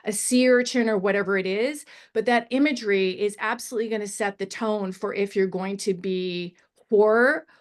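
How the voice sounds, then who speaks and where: Woman, middle-aged, medium pitch. Script feedback panellist: encouraging, animated, digressive, conversational. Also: normal speed, few pauses. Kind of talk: casual spoken feedback on a screenplay.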